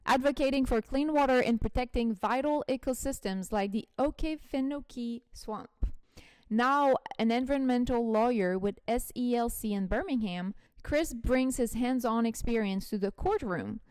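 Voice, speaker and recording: A woman's voice: fairly high pitch, darkish tone, smooth, dry recording. A woman from Quebec with a Québécois French accent, whose first language is French, hosting a podcast interview in a chatty, reflective way. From Quebec, Canada. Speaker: podcast host.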